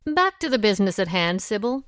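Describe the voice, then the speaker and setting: An actress playing a confident, wry amateur sleuth who questions suspects briskly and methodically in an audio drama. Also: female voice, mid-range voice, sing-song, a bit bright, no room sound, clean studio sound. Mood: brisk, probing.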